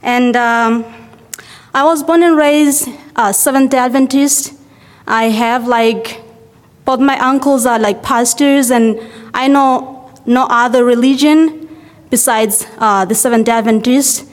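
A woman's voice: on the high side, steady tone, a little slow. Zimbabwean young woman, reverberant church hall. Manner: chatty, many fillers like "like" and "uh". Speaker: church member giving a testimony. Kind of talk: casual spoken testimony to a congregation.

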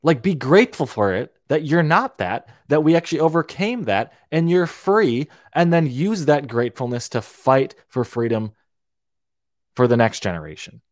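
A man's voice: medium pitch, lively intonation, normal speed, no echo, studio quality. Ranting young man. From Romania. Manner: heated, ranting, repeats and restarts words, swears freely. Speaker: podcast host. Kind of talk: opinionated monologue.

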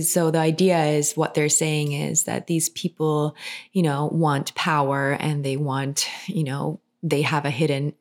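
Low-voiced woman, rambling chat. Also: a little mellow. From Finland. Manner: rambling, many fillers and restarts. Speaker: podcast co-host. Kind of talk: casual chat.

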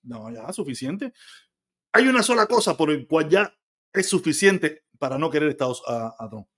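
Cuban man, high voice, blunt live-stream host, argues with chat, swears. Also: big pitch swings, moderate pace, a bit bright. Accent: Cuban Spanish. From Cuba. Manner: blunt, argumentative, swears freely.

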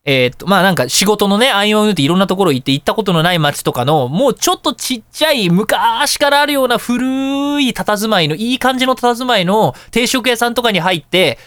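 A man hosting a radio show, telling anecdotes in a casual, chatty, animated way. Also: high-pitched, brisk, few pauses, no room sound. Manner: animated, chatty storytelling with colloquial asides.

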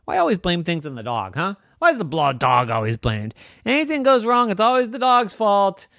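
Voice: mid-range voice, wildly swooping pitch, moderate pace, a bit bright, dry recording, studio quality, voice pushed up. A storyteller voicing an indignant family dog in a children's story, barking and complaining.

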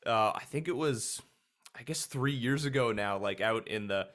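A man with a mid-range voice asks questions in an easygoing, casual way. He fills his sentences with "like", "um" and "uh" and keeps the tone relaxed and chatty. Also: mid-range voice, moderate pace. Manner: loose, many likes and fillers. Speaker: interviewer.

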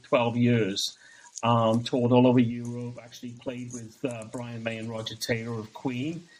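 Male guest, chatty and easygoing, mid-pitched, casual interview talk. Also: rough recording. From Wales. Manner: chatty, laughs between anecdotes. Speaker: guest, tribute band singer.

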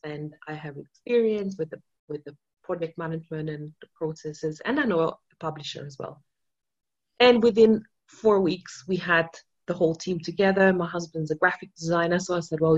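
Woman, from Austria, non-native English speaker, low voice, eager rambling storytelling, dull lo-fi sound. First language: not English. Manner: enthusiastic storytelling with many restarts and fillers. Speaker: author, interview guest. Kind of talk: casual interview talk.

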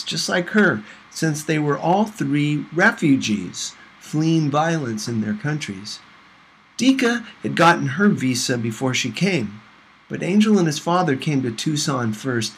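A man narrates a novel as an audiobook reader, with a lively, swooping pitch. He reads expressively, as if for a class, over some faint background noise. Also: poor audio.

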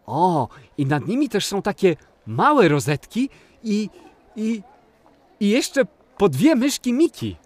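A performer playing an excitable, mischievous little boy in a children's audio play, eagerly blurting things out. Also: medium pitch, takes it slowly, no echo. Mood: curious. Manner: eager, blurting questions and exclamations.

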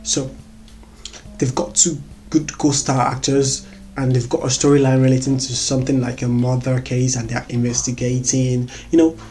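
A Nigerian-accented vlogger giving casual movie recommendations in a chatty, excitable way, laughing often and repeating words. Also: mid-range voice, normal speed.

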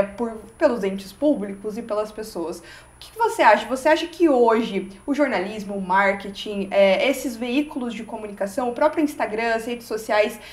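A woman's voice: fairly high pitch, echoey room. A woman from Curitiba with a local accent, interviewing a guest, listening attentively with short affirmations and asking long questions. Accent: Curitiba Brazilian Portuguese. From Curitiba, Brazil. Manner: attentive, frequent brief affirmations.

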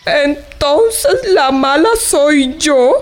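An actress playing a blunt, indignant old maidservant in a radio drama, reproachful and emphatic. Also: female voice, high-pitched, lively intonation, extremely slow, full character voice. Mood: wounded, indignant. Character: old maidservant, blunt, scolding, wounded confidante.

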